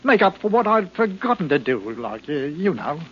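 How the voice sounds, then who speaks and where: A timid husband in an old radio thriller, stammering and deferential. His voice sits on the high side, on a muffled, noisy recording. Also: big pitch swings, normal speed. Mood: apologetic. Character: meek, flustered English husband hiding guilt. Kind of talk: 1940s radio acting.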